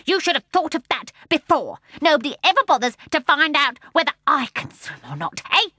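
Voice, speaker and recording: A woman voicing a bitter, rude small male seal in a storybook reading, angry and shouting. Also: high-pitched, sing-song, brisk, no echo, studio quality, pitched above the performer's own voice, heavily acted.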